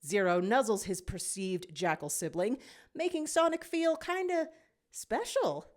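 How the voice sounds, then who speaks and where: Animated woman narrating a story. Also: medium pitch, measured pace. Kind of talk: expressive scripted story narration.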